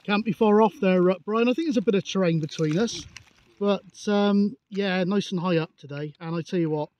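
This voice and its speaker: A man's voice: high voice, a little fast, highs cut off. A man making casual chat and radio calls as a CB radio operator. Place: outdoors on an open moorland hilltop. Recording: outdoor recording with clear noise.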